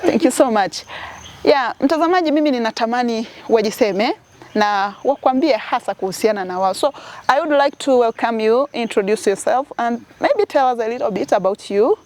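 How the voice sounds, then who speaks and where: A woman's voice: fairly high pitch, expressive, moderate pace, darkish tone. A young woman with a Tanzanian accent, who works as a communications officer, hosts an interview and talks straight to her viewers. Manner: welcoming, addresses viewers directly. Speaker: diocese communications officer and host. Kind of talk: hosting an interview, switching between Swahili and English.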